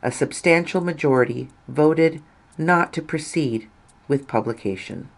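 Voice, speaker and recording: Slow-paced woman reading an article. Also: low voice. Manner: measured, deliberate phrasing with pauses. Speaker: reader of an article. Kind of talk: scripted narration, read-aloud article.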